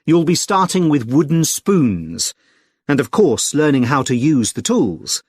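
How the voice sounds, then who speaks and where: A man with a British accent playing a friendly, helpful club secretary in a clearly enunciated scripted listening-test conversation, polite and explanatory. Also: on the high side, sing-song, unhurried, darkish tone, dry recording, exaggerated voice. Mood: helpful, informative. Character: friendly, helpful male secretary of a conservation group. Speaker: actor in a listening test dialogue.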